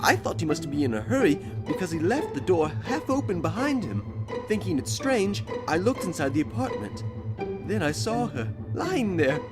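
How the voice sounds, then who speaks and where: Over loud music, a man acts out a shifty salesman on the witness stand in a courtroom game dub. His delivery is theatrical and nervous, with a pitch that swings about in melodrama. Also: sing-song, moderate pace. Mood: dramatic testimony. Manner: theatrical, stammers when challenged. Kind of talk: video game fan dub courtroom drama.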